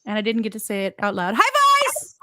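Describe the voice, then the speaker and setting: A woman hosting a casual chat, with a slightly squeaky voice that laughs and reacts readily. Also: fast talker, no room sound. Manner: giggly, animated reactions. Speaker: host.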